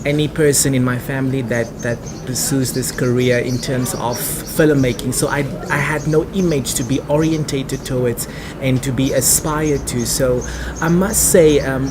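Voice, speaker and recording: Young namibian guy, afrikaans mother tongue, earnest interview chat, medium pitch, noisy background. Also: steady tone, moderate pace. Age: early 20s. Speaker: interview guest, actor and theatre director. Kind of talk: conversational interview answers. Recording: noisy ambient background, traffic-like rumble.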